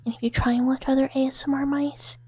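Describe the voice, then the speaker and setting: A woman streaming ASMR chat in a very breathy, half-whispered voice that sits slightly high. She rambles playfully with her viewers and slips into mock threats, keeping it soft throughout. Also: little pitch movement, quick pace, no echo, soft background music. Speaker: VTuber streamer playing a mouse character.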